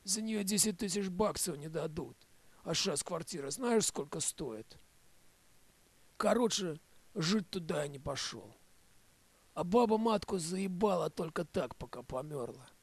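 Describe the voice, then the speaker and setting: A man's voice: high voice, animated, a little slow, slightly rough, no room sound. A man performing a rambling first-person monologue as a coarse, hard-drinking storyteller, in profane street slang. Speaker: author-performer of a one-man audio play.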